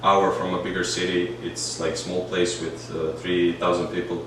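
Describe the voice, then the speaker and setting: A Serbian man in his twenties, a native Serbian speaker, answering interview questions in a relaxed, plain-spoken way with a Serbian accent. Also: sits low, moderate pace, noisy background. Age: 20s. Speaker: interview guest, student swimmer. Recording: distant mic in echoey room with ambience.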